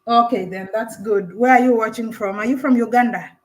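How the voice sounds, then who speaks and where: Chatty woman host on a live stream, voice a bit high, laughs a lot while unboxing stuff. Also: brisk. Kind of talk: casual live-stream chat.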